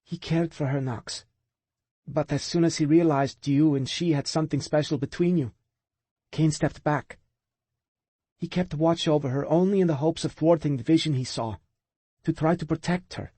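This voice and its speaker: A man's voice: fairly high pitch, moderate pace, mellow, no room sound, voice pushed up, lightly acted. A man narrating an audiobook, voicing a determined young woman who speaks steadily and earnestly.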